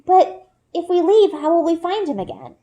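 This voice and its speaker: Storybook child, female adult woman acting: anxious young friend, high, lilting pitch. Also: sing-song, moderate pace, mellow. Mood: anxious, questioning. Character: worried young girl, best friend of the heroine. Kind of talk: children's book read-aloud.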